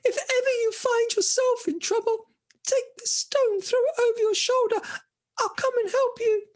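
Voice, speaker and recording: A storyteller voicing a tiny, grateful storybook mouse with a squeaky, earnest way of speaking. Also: steady tone, moderate pace.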